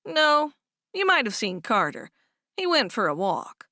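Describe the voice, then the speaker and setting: A woman's voice: fairly high pitch, very animated, measured pace, bright, forceful tone, no echo, voice pushed up, heavily acted. A woman reading aloud and voicing a polite young male farmhand who is eager to please, close to her own voice.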